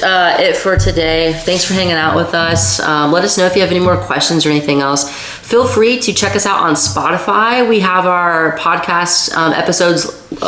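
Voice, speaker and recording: A woman co-hosting a podcast in casual chat, sounding nasal from a cold, with a raspy, blunt, filler-heavy way of talking. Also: on the low side, fairly bright tone, echoey room, poor audio. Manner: blunt, fast filler-heavy talk with many "like"s. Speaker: podcast co-host.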